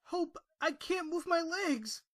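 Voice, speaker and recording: A woman plays a little unicorn boy, high and expressive, taking the words slowly. The colt sounds timid, hesitant and earnest, as in a cartoon audio drama, recorded dry. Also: no room sound. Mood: frightened.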